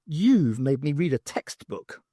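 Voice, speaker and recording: A man plays a brisk woman archaeologist in an audiobook. She speaks in a lightly raised pitch with lively, swooping intonation and a dry, sardonic edge. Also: husky, dry recording, heavily acted. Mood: rueful. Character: wry, brisk female academic archaeologist.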